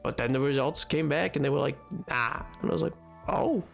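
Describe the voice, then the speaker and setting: A man streaming a game, chatting with his viewers in a rambling, excitable way, his voice hoarse from a cold. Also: medium pitch, fairly quick, soft background music. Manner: rambling, excitable, jumps between topics. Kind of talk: casual chat with stream chat while gaming.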